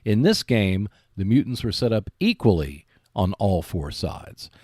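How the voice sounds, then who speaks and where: Male podcast host, lower register, very animated delivery of scripted narration. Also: measured pace, husky, no echo, studio quality.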